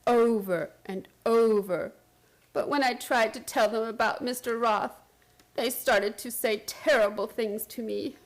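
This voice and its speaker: Actress as obsessed woman patient, high voice, haunted, urgent, theatrical radio drama delivery. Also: steady tone, a little slow, lightly acted, poor audio. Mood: haunted, weary. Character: obsessed, frantic woman hospital patient telling her strange story. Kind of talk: radio play acting, first-person dramatic narration.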